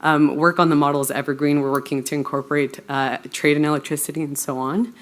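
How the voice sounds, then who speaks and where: A woman with a low voice presents research at a live forum. She explains technical material in an informal way, as a conference speaker would. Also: little pitch movement, fast talker. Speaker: researcher presenting a poster pitch.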